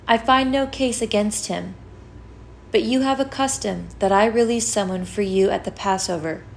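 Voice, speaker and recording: A woman's voice: mid-range voice, gravelly. A woman giving a dramatic scripture reading, recorded with faint background noise. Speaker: scripture reader.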